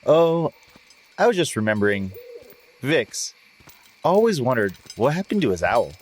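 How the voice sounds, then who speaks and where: An actor playing an excitable, good-natured male adventurer in a fantasy audio drama. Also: medium pitch, unhurried. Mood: easygoing.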